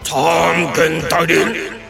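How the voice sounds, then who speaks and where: A man voicing a huge, loyal warrior general with drawn-out words in a puppet radio drama. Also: a little high, steady tone, creaky, over music, full character voice. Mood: obedient, booming. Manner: ponderous, drawn-out delivery. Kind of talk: Taiwanese glove-puppet radio drama acting.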